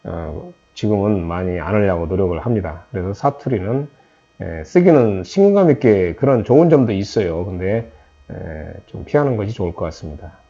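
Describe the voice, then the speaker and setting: A man's voice: fairly low pitch, animated, moderate pace, mellow, husky, highs cut off. A man with a slight Jeolla Korean accent, lecturing trainees in a conversational way, with many "eo" fillers and restarts. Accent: Jeolla Korean, mostly softened.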